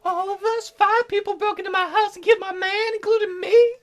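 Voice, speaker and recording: Man putting on a high, offended grieving wife. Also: steady tone, a little fast, a bit bright, soft background music. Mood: incredulous.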